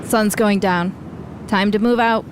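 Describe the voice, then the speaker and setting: A woman's voice: mid-range voice, steady tone, a little slow, background noise, close to an everyday voice. A woman playing a tough, guarded commander of a survivor militia in a radio drama, speaking tersely and with command. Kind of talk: post-apocalyptic radio drama acting.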